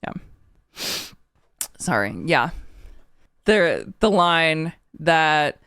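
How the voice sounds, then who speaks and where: Sniffly woman doing an album reaction, smooth clear voice, super dry close mic, emotional and candid, swears a bit. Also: low voice, big pitch swings, drawn-out words, a bit bright, no echo. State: sniffly from a cold. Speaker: music reaction channel host. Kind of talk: casual album reaction and review.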